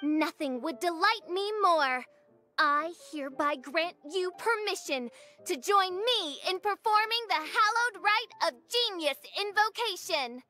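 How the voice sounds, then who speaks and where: A woman's voice: extremely high pitch, lively intonation, measured pace, sharp tone, full character voice. A woman voicing a grandiose teenage princess in an anime video game, with pompous, archaic and melodramatic delivery. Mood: imperious, grand. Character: grandiose theatrical teenage girl posing as fantasy princess.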